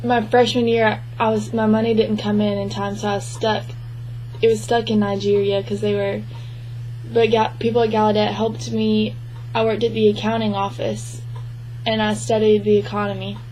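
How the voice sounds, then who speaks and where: A woman voicing the spoken interpretation of a signed interview, speaking in an even, measured way with frequent restarts. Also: mid-range voice, slight background noise, poor audio. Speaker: sign language interpreter voicing a deaf man's answers.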